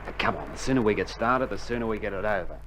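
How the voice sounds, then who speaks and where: A man's voice: lowish voice, lively intonation, quick pace, a bit bright. An actor playing a whingeing, wisecracking, jumpy Australian infantryman in a colloquial 1960s radio drama style. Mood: wry, grumbling. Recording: noisy old vinyl radio drama recording.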